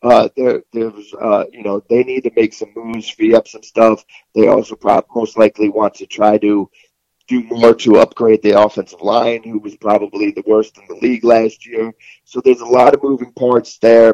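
A man giving casual sports analysis as a guest, in a halting way with repeated words, on a muffled recording. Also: fairly low pitch, little pitch movement, mellow, no room sound. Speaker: guest football reporter. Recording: muffled, lo-fi call sound.

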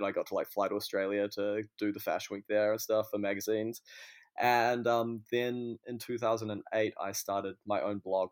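A man in his thirties with a New Zealand accent, talking casually and telling stories as an interview guest. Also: fairly low pitch. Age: 30s. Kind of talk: casual conversational storytelling.

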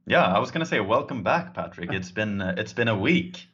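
A man's voice: on the low side, lively intonation, brisk, fairly bright tone, slightly rough. A man co-hosting a casual hockey podcast chat in an easygoing, friendly way, heard over a call. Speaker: podcast co-host. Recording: dull lo-fi call audio.

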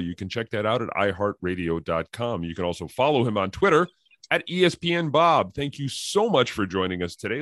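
A man's voice: medium pitch, a bit bright, dry recording, studio quality. A man hosting a sports podcast, delivering prepared introductions and questions to his guest. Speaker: podcast host.

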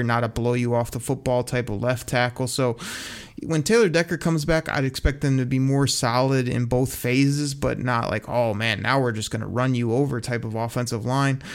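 Relaxed opinionated man talking football. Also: medium pitch, clean tone. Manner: relaxed, opinionated, many fillers. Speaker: podcast co-host. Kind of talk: casual sports analysis chat.

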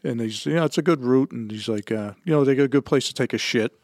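A man's voice: mid-range voice, fast talker, a little mellow, no room sound, clean studio sound. A middle-aged man from the Boston area co-hosting a podcast in casual, plain-spoken, profane chat. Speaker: podcast co-host.

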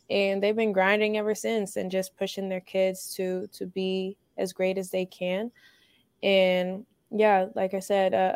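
Gravelly, smooth-voiced young woman. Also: mid-range voice, dry recording. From Finland. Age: young adult. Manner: hesitant, many ums, soft laughs. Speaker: college basketball player guest. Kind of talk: casual interview answers.

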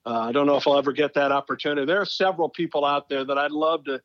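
Middle-aged male broadcaster, fairly high voice, conversational storyteller, emphatic, dry but slightly dull recording. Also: steady tone, rapid-fire, dry recording. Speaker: sports broadcaster, interview guest.

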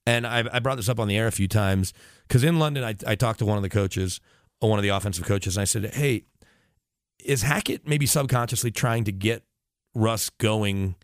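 Male sports radio guest. Lowish voice, dry sound, emphatic conversational analysis with plenty of digressions. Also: clear, resonant tone, no echo.

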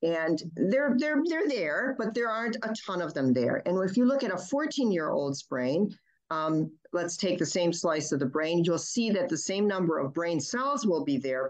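Pediatrician guest, woman, native German speaker raised in Michigan; low voice, halting explainer. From Michigan, USA. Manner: halting, many ums and restarts. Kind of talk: explanatory interview talk.

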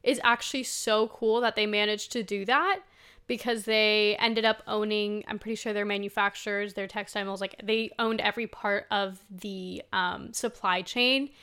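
A woman's voice: mid-range voice, fairly even intonation, normal speed, sharp tone, clear, resonant tone. A woman in her twenties from Spain giving casual, conversational commentary as a host, chatty and informal, leaning on key words for emphasis. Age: 20s. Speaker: host, sustainable fashion commentator.

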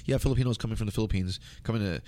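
A man's voice: on the low side, racing, a little mellow, slight background noise. An American man chatting casually in a rambling, slangy way. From United States. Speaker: interview guest. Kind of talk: casual conversation.

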